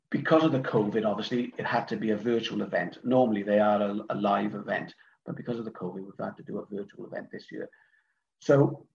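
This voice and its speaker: A man's voice: lowish voice, creaky, darkish tone. A middle-aged Welsh man from Cardiff chatting casually as a podcast guest, rambling with lots of ums, uhs and restarts. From Wales. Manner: rambling, hesitant, many fillers and restarts. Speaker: guest, event organiser. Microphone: remote online call. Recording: dull lo-fi online call audio.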